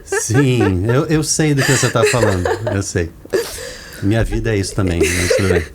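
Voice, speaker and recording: A man's voice: lowish voice, moderate pace, a loud crowd. A Brazilian man hosting a podcast in casual, conversational interview style. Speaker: podcast host. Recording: clear background noise, room ambience.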